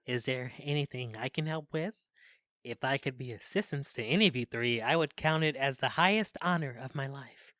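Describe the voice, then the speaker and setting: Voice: mid-range voice, normal speed, a bit bright, no room sound, lightly acted. An actor playing an earnest, lovestruck young romantic who speaks in florid, gallant verse in a modern Shakespearean audio drama. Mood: devoted, earnest. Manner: florid, ardent poetic declarations.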